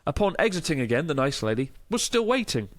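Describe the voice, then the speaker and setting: A man's voice: fairly high pitch, sing-song, quick pace, no echo. A man in his thirties with a Northern English accent, co-hosting a casual podcast, chatting and reading listener mail aloud with laughter. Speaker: podcast co-host.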